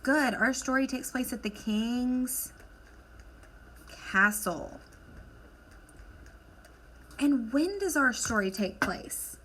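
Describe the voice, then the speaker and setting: A woman teacher leading an instructional lesson for children, asking questions, encouraging answers and dictating deliberately as she writes. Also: a little high, lively intonation, bright, forceful tone, slight background noise, low-quality recording. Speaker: elementary school teacher.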